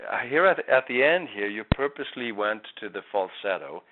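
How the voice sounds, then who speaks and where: A man's voice: fairly low pitch, lively intonation, a little fast. A male voice coach talks a student through technique, patient and explaining each point in turn. His voice comes through a thin, phone-like call line with a faint hiss underneath. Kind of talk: one-on-one singing lesson.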